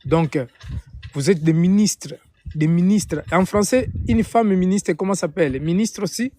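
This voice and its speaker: A man's voice: high-pitched, a little slow, warm tone, no room sound. A man from Angola with an Angolan Portuguese accent, a native Portuguese speaker, preaching and praying informally on a live stream, often repeating and rephrasing his phrases.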